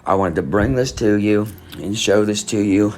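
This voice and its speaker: A man's voice: on the low side, moderate pace, a little mellow, slight background noise. A man hosting a true crime channel, reading an article aloud in casual commentary, rambling and skeptical. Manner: rambling, skeptical, hums between passages.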